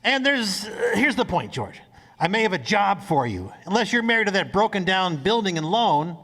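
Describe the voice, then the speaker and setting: High, brash young businessman acted by a man. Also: big pitch swings, moderate pace, fairly bright tone, full character voice. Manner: exclamatory, pushy. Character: brash, boisterous young businessman and old pal. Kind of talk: live old-time radio play acting. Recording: echoey hall, live stage pickup.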